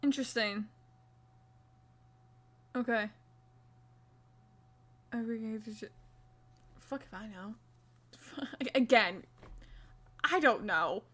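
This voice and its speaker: A young woman with a fairly high voice talks to her live-stream chat while crocheting. She is loose and self-mocking, and she swears casually as she goes. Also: extremely slow, pauses often, a bit bright.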